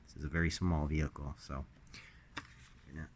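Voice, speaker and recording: A man with a slightly raspy voice while recovering from illness, hosting a casual unboxing, halting with many ums and uhs. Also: a bass voice, little pitch movement, heavy background noise. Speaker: diecast collector host.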